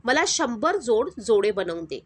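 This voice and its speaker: Woman narrator voicing a kind middle-aged shopkeeper, warm, trusting. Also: a little high, very animated, unhurried. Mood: generous.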